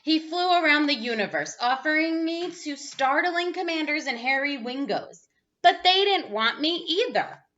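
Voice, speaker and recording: A woman reading a children's picture book aloud as a teacher would, expressive and dramatic. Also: sits high, normal speed, lo-fi sound, a little hiss or hum. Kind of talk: animated children's read-aloud.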